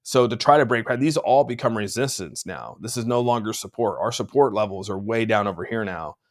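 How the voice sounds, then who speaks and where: Chill streamer dude a bit stuffy, lowish voice, rambles and says dude a lot. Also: male voice, lively intonation, brisk. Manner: laid-back, rambling, says "dude" often. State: slightly congested. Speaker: crypto livestream host. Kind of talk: casual chart talk answering live chat. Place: room at home.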